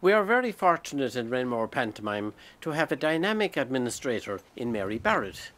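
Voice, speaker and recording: A man's voice: medium pitch, sing-song, fairly quick, fairly bright tone, a little hiss or hum. An Irish man in his sixties reading scripted documentary narration in a promotional, flowery style.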